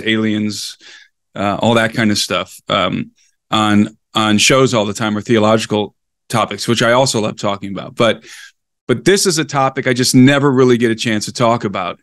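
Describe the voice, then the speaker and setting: A man's voice: fairly low pitch, a bit bright, no echo, studio quality. A man speaking as a podcast guest, telling history conversationally in an enthusiastic, explanatory and digressive manner. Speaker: podcast guest, explorer and researcher.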